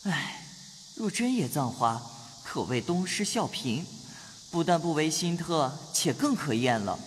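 A man playing a lovestruck, teasing young nobleman of old China in an audio drama, speaking softly and coaxingly. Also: fairly high pitch, animated, unhurried. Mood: musing. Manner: soft, coaxing. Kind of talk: audio drama acting of a classical novel. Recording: long hall reverb, noisy insect ambience.

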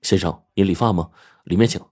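A man's voice: low voice, fairly quick, darkish tone, husky. A man voicing a protective, hot-headed young husband in an audio story, blunt and plain-spoken. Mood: polite, wary.

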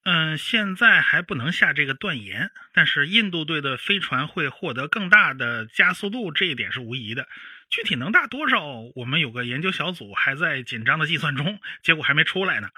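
Radio drama expert: didactic professor, highish voice, swooping emphasis, dry close recording. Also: male voice, big pitch swings, brisk, bright, forceful tone, husky, no echo, full character voice. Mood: measured, explanatory. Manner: didactic, emphatic, with homely particles. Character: animated, explanatory male professor of spaceflight. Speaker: spacecraft chief designer, expert guest.

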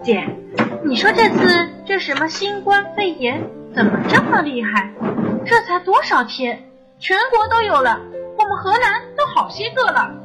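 A woman's voice: very high voice, big pitch swings, measured pace, music in the background, full character voice, rough recording. An actress playing a cheerful, grateful rural mother from Henan who helps in a canteen, eager and plain-spoken, in a radio drama. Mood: eager, curious. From Henan, China.

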